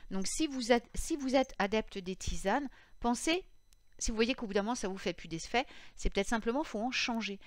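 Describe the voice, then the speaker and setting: A slightly hoarse woman in her thirties hosting a casual witchcraft podcast, rambling with many fillers and restarts. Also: fairly high pitch, normal speed, dry recording. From France.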